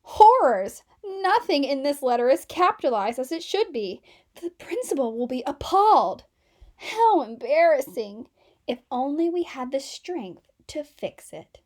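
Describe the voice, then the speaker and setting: A woman's voice: sits high, sing-song, a little slow, a little mellow. A woman voicing a group of ailing, self-important capital letters in a children's storybook, plaintive and dramatic. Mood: horrified. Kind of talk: children's storybook read-aloud.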